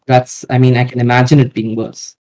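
A man's voice: mid-range voice, flat delivery, a little fast, darkish tone, low-quality recording. A man speaking as a podcast guest, giving hesitant, hedging answers in casual talk.

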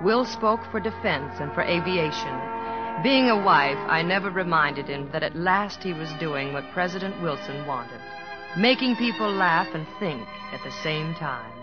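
A woman's voice: deep voice, lively intonation, normal speed, loud music behind the voice. An actress playing a loving but firm middle-aged wife and mother with an American accent, in old-time radio drama style. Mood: fond, reflective. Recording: muffled old radio recording.

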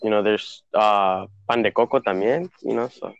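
A man's voice: lowish voice, moderate pace, gravelly, muffled recording. A young man from Honduras co-hosting a casual podcast chat, rambling with many fillers and slipping into Spanish words. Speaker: podcast co-host.

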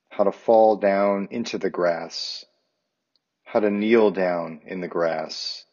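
A man reciting poetry for listeners in a measured, contemplative manner. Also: deep voice, darkish tone, breathy edge, lo-fi sound. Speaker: volunteer poetry reader, teacher.

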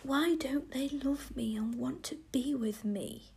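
A woman's voice: high-pitched, slow pace, gravelly, darkish tone, pitched above the performer's own voice, full character voice. A woman voicing a shy, lonely little male gnome in a hesitant, sad whisper.

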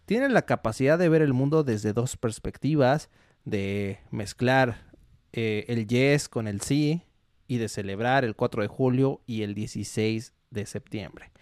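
A man's voice: medium pitch, unhurried, darkish tone, no echo, studio quality. A young Mexican man who co-hosts a podcast and speaks in a Mexican accent, explaining ideas in a casual, searching way. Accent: Mexican Spanish. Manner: thoughtful, explains with many fillers and restarts. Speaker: podcast co-host. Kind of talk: casual chat.

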